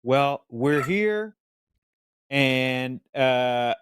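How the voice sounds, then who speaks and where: A man's voice: medium pitch, lively intonation, very slow, fairly bright tone, slight background noise. A man co-hosting a casual live-stream podcast, playfully reading out viewer comments and teasing his co-hosts.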